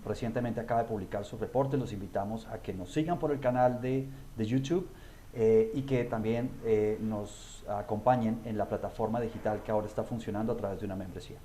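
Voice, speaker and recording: A man co-hosting a webinar over a video call, halting and full of "eh" fillers. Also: lowish voice, fairly even intonation, mellow, a little hiss or hum. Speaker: webinar co-host. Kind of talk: webinar presentation.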